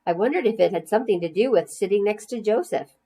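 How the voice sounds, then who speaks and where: A woman's voice: medium pitch, expressive, fast, mellow, breathy edge. Woman reading a children's story aloud. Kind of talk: expressive storybook narration for children.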